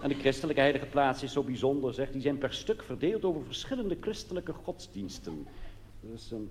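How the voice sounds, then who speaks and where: A man's voice: medium pitch, expressive, brisk. A man with a Netherlands Dutch accent, a cabaret comedian telling a comic monologue live on stage in a conversational way, full of colloquial asides. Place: on stage in a theatre. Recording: noisy live recording in reverberant hall.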